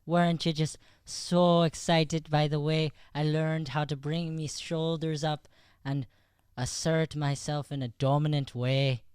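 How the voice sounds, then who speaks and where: A vain, eager Irish boyo, acted by a man, proudly boastful in an improvised radio comedy. The voice is clear and the sound dry. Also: on the high side, level tone, measured pace, few pauses, a little mellow, no echo, close to an everyday voice. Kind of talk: improvised old-time radio comedy acting.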